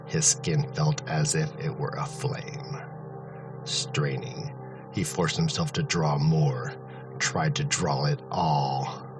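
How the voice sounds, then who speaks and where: A man giving a grave, deliberate, dramatic narration of a fantasy novel. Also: a bass voice, recorded from a distance. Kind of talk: dramatic audiobook-style scripted narration. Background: music.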